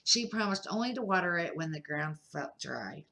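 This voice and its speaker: An older woman, a grandmother, reading a children's picture book aloud in a measured, careful amateur style with short phrases. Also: lowish voice, animated, creaky, sharp tone.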